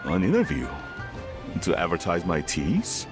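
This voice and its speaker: An actor playing a reserved, cautious middle-aged merchant father in a video game, speaking formally and deliberately. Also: low voice, huge pitch swings, over music, full character voice. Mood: cautious, pondering.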